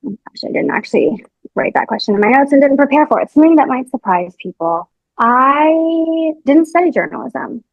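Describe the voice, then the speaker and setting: A woman's voice: high-pitched, lively intonation, moderate pace, vocal fry, no room sound, lo-fi sound. A young adult woman reporter, a podcast guest, answering questions in a chatty way with many fillers and restarts. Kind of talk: casual interview answers.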